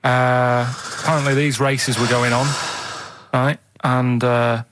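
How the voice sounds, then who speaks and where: A man chatting casually on a radio show, telling odd facts and stories in a halting, earnest, matter-of-fact way. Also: mid-range voice, flat delivery, noisy background. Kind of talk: casual rambling radio chat and anecdotes.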